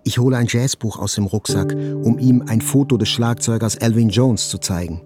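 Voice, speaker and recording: An actor playing a journalist who relives a fateful morning, narrating a literary audio drama plainly and with restraint. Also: male voice, medium pitch, moderate pace, music in the background, lightly acted. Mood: restrained.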